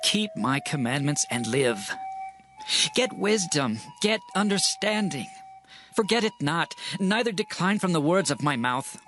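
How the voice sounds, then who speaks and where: Man acting as high-voiced, earnest exhorting father. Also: animated, a little slow, a bit bright. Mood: earnest urging. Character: earnest father of old, urging his child toward wisdom. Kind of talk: dramatized scripture reading. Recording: music under the voice.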